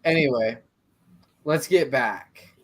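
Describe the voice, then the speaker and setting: A man's voice: mid-range voice, slow, a little hiss or hum. A man acting as game master, who narrates a tabletop session and trades jokes with his players in casual banter. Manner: playful, teasing, cuts back to the game.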